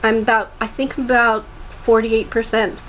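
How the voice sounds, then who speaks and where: Female host, higher voice, rambling and halting conversational delivery, thin phone-quality sound with faint noise. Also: flat delivery. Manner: rambling, halting, restarts sentences often. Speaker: talk show host. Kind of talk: casual chat interview.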